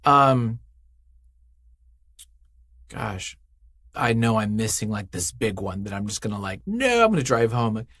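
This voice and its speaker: A man's voice: lowish voice, big pitch swings, unhurried, pauses often, a bit bright, dry recording, clean studio sound. A man speaking as an interview guest in casual, unscripted chat, effusive and emphatic, often thinking aloud.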